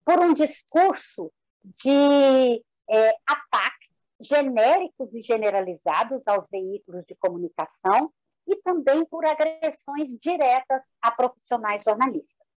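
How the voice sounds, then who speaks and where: A woman with a Brazilian accent giving formal, measured political analysis as a panelist, articulate and orderly. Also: high-pitched, animated, a little mellow, dry recording, highs cut off. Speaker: panelist in an online debate. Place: indoor room, live online debate.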